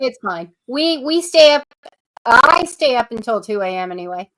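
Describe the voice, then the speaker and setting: High-voiced woman in her fifties. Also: lively intonation, normal speed, fairly bright tone, rough recording. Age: 50s. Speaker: podcast panelist. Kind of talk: casual chat.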